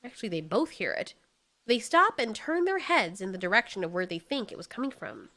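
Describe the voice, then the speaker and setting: A woman storyteller reads scripted fiction. Her voice sits fairly high and moves through a very animated range as she narrates expressively. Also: fairly quick.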